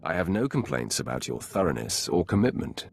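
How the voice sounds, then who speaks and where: An actor playing a skeptical, commanding dark lord in a video game, curt and authoritative in manner. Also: male voice, low voice, big pitch swings, brisk, gravelly, husky, heavily acted.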